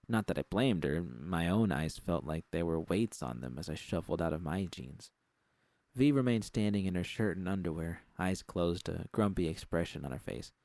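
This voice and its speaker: A man with a gravelly voice reading first-person fiction as an audiobook narrator. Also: low-pitched, darkish tone, no echo.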